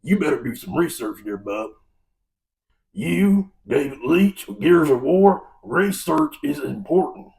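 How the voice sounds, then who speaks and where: A man's voice: fairly high pitch, lively intonation, measured pace, vocal fry, a little mellow, recorded from a distance, poor audio. An American man giving an opinionated, exasperated film review rant in a folksy, emphatic way. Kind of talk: opinionated movie rant to camera.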